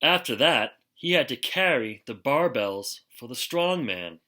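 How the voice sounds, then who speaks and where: Male storybook reader for young children, unhurried and forceful, with expressive, dramatic delivery. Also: medium pitch. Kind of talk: expressive children's picture book read-aloud.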